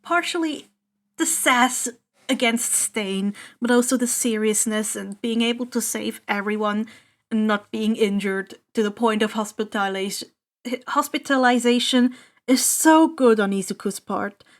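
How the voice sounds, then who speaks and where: A woman with a fairly high voice reads fan fiction aloud. She narrates as the reader of an amateur audio story. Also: unhurried. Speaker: podfic reader.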